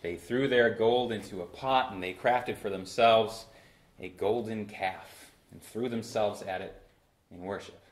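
Young pastor preaching in an echoey church. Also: male voice, fairly low pitch. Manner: preaching with swells of emphasis and slow drawn-out phrases. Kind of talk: sermon. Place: church sanctuary at a pulpit. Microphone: far camera in a roomy church.

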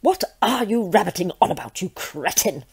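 A woman's voice: lowish voice, very animated, moderate pace, vocal fry, husky, exaggerated voice. A woman playing a vain, petulant devil, a self-pitying comic villain in an audio drama, haughty and theatrically indignant. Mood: baffled.

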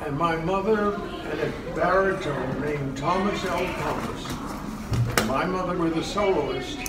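An elderly man telling a story conversationally and haltingly, often checking that his listener is following. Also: fairly high pitch. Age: 80s or older. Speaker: assisted living resident telling a story. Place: large indoor room at a care home. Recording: echoey room, distant mic, loud noise.

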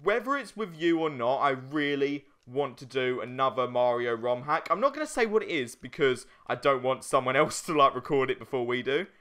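A man's voice: mid-range voice, lively intonation, fairly quick, hardly any pauses, a bit bright. A young man chatting casually as he co-hosts a video game let's play, informal and given to swearing. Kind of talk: casual chat while playing a video game.

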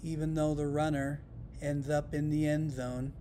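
A man's voice: flat delivery, unhurried, mellow. An American man reading scripted instructional narration for a training video in a matter-of-fact way. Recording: rumbling background noise.

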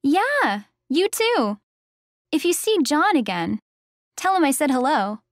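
High-pitched, lively friendly woman, acted for a textbook. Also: slow, dry recording, clean studio sound, exaggerated voice. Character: friendly woman chatting with an acquaintance. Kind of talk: scripted textbook dialogue.

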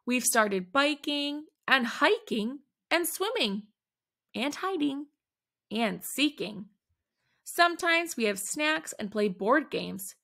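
A woman reading a picture book aloud to children, at an unhurried, deliberate pace. Her voice sits a little high and sounds bright, with a gravelly edge. She leans into the big moments with animated, dramatic emphasis. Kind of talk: expressive read-aloud storytelling.

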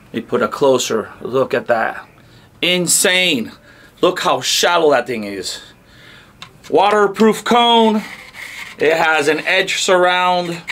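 A man's voice: on the high side, animated, takes it slowly, long pauses, sharp tone. A man in his thirties hosting a casual product demo, talking to camera in short, punchy, enthusiastic phrases. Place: indoor car audio showroom. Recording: clear background noise.

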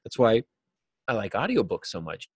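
Low-voiced middle-aged man, casual and explanatory. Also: huge pitch swings, moderate pace, breathy edge, no echo. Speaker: interview guest, novelist and writing teacher. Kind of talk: casual interview conversation about craft. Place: small cluttered office, indoors. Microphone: video call, seated at a desk.

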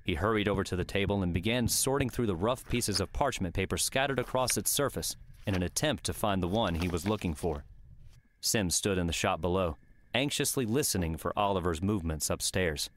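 Low voiced guy narrating an audiobook, scripted story reading. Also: lively intonation, brisk, a little hiss or hum.

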